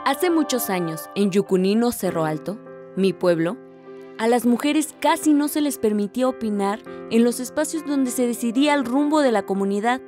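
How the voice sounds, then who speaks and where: A woman's voice: on the high side, normal speed, darkish tone, soft background music, lightly acted. An actress playing a reflective young village woman narrating her story in a radio drama.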